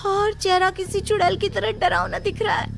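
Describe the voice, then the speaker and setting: Very high-pitched, nervous young woman, acted by a woman. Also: little pitch movement, normal speed, gravelly, faint music behind. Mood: fearful. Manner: nervous, pleading. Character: frightened, anxious young office woman, easily startled. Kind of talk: animated Hindi horror story dubbing.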